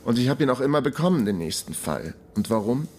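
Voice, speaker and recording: A man's voice: medium pitch, very animated, fast talker, darkish tone, a little hiss or hum, natural voice. An actor playing a wry, broke ex-detective in his forties, narrating a radio crime drama in a laconic, self-mocking way. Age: 40s.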